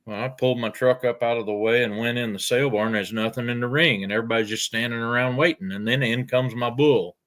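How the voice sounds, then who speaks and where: An older man, telling farm stories in a folksy, unhurried way with frequent pauses. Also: mid-range voice, fairly bright tone. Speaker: storyteller guest. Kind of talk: casual storytelling.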